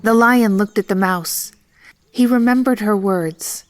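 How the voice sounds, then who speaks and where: A woman narrates a children's story from a script. Her voice sits at a medium pitch, and she takes her time, shaping each phrase with deliberate expression.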